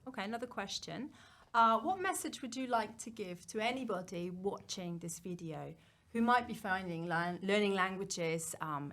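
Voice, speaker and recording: A woman's voice: fairly low pitch, fairly bright tone. A woman interviewing a guest, asking questions and giving frequent short supportive affirmations. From English-speaking country. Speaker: interviewer, advisor. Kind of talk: casual interview conversation.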